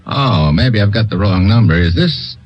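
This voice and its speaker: A man's voice: on the low side, lively intonation, moderate pace, lightly acted. An actor playing a wisecracking, two-fisted male government agent in a 1950s radio drama, narrating and talking with a wry, breezy manner. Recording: dull old radio broadcast.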